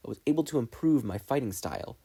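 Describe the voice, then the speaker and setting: An earnest, very formal teenage schoolboy acted by a man in an audio story. The voice is slightly husky and lively in pitch, with stiff, emphatic, declarative phrasing. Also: fast talker.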